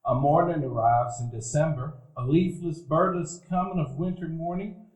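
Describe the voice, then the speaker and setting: With the echo of a live stage around him, an actor plays a nostalgic man remembering his boyhood in a radio play. His voice sits a little high for a man, and he narrates in a measured, literary storytelling style. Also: fairly even intonation, darkish tone, natural voice. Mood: tender, melancholy. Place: event hall before live dinner-theater audience. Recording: echoey live theater stage.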